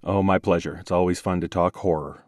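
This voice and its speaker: Man, deep voice, dry close recording, measured explanatory interview talk. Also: fairly even intonation, darkish tone, dry recording. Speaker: horror podcast host, interview guest. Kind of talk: thoughtful interview conversation.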